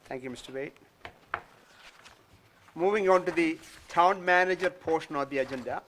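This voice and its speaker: A man chairing a town council meeting, calling motions and votes in a measured, procedural way. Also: on the high side, fairly bright tone.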